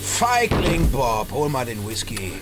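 A man's voice: mid-range voice, wildly swooping pitch, takes it slowly, over loud music. A man playing an irritable, hard-drinking bandit chief who blusters through a spoof western radio play. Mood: bossy.

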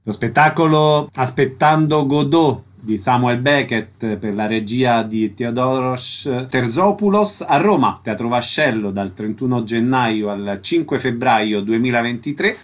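A man's voice: medium pitch, lively intonation, normal speed, few pauses. A man hosting radio, reading out scripted questions and announcements as an interviewer.

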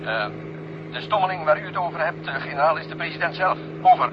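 A man's voice: fairly high pitch, fast talker. An actor playing a curt, insistent man giving orders over a radio link in a 1970 radio drama. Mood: dry. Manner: clipped, official radio procedure. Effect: radio transmission. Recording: dull, noisy two-way radio sound.

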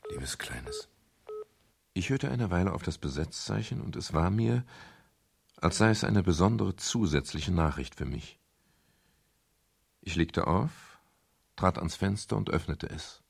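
An actor playing a private detective in a radio crime drama, curt one moment and soft and intimate the next, with a husky, gravelly voice. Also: male voice, low voice, lively intonation, a little slow, long pauses, dry recording, exaggerated voice. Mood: tender. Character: brusque, irritable male private detective, tender with his lover. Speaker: actor and first-person narrator. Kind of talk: 1970s German radio crime drama acting.

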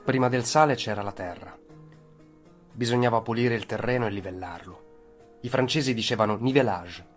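A man's voice: mid-range voice, normal speed, faint music behind. A man reading a scripted dramatic narration as a storyteller.

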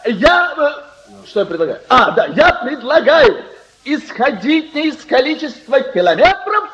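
A man's voice: very high voice, sing-song, measured pace, fairly bright tone, exaggerated voice. A man acting a muddled, fussy, self-important conference delegate in a comic radio play, on a muffled recording.

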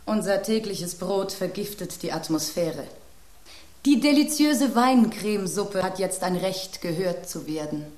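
A woman's voice: medium pitch, lively intonation, measured pace, darkish tone, roomy sound, lightly acted. An actress playing a vain rose who calls herself queen of the flowers, lecturing in an affected, haughty way in a radio play.

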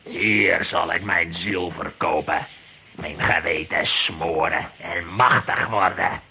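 Ruthless commander, very forceful sharp tone, heavy rasp, menacing, children's radio drama. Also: male voice, low-pitched, takes it slowly, full character voice. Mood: menacing, grim. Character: ruthless power-hungry villain commanding soldiers. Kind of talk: children's radio play acting.

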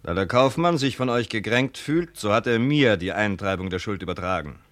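An actor in a German radio play, playing a grasping, mocking farm heir with a sarcastic, self-righteous, menacing way of talking. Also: male voice, lowish voice, animated, normal speed, a bit bright, dry recording.

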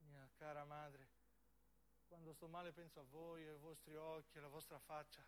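Man acting hushed, homesick young policeman. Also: fairly high pitch, level tone, normal speed, slight background noise, natural voice. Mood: weary, homesick. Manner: weary, plaintive, confiding. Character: frightened, homesick young southern policeman confiding to his mother. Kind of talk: theatrical radio monologue.

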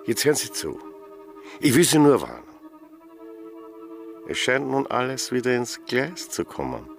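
A man's voice: mid-range voice, huge pitch swings, measured pace, creaky, faint music behind, heavily acted. An actor playing an officious policeman who gives instructions and warnings in a radio drama. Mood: confidential. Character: officious policeman, calling out, then confiding warnings.

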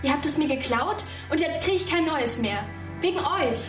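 Radio drama schoolgirl: very high voice, indignant, insistent, roomy sound over music. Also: lively intonation, moderate pace. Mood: accusing. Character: indignant young schoolgirl stuck in a lockdown. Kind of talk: naturalistic German radio drama acting. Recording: roomy, distant, music underneath.

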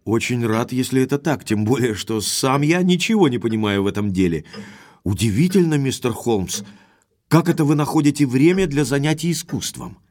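An actor playing a frank, courteous young baronet in an audio drama. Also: male voice, mid-range voice, animated, normal speed, a little mellow, natural voice. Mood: frank, eager. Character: Sir Henry, frank young baronet back from America. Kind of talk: Russian audio drama acting.

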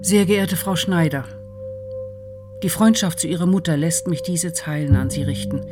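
An actress playing an earnest family friend, reading out a formal letter in a measured way in a radio drama. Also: female voice, fairly low pitch, music in the background, close to an everyday voice. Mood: grave, formal.

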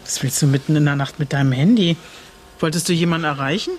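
An actress playing a disillusioned middle-aged wife in a radio drama, reflective yet challenging, with pointed questions. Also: female voice, low voice, fast, darkish tone, slight background noise, close to an everyday voice. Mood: suspicious. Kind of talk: naturalistic German radio drama dialogue.

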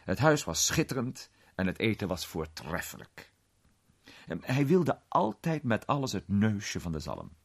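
A man's voice: fairly low pitch, sing-song, unhurried, vocal fry, breathy edge, exaggerated voice. An actor playing a genial male film star who tells a strange story conversationally in a radio play. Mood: musing, reminiscing.

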